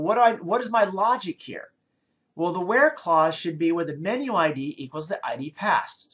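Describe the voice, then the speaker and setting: A middle-aged man teaches programming over a screencast. He talks from a small office into a desk webcam. He explains each step aloud and often pauses, restarts or adds fillers as he thinks. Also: on the high side, a bit bright. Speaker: programming lecturer. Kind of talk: screencast coding tutorial.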